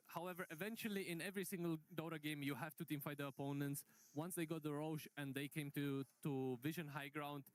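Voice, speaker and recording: A man working as an esports analyst on a live desk, walking steadily and methodically through how a teamfight played out. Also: fairly high pitch. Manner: steady, methodical play-by-play breakdown.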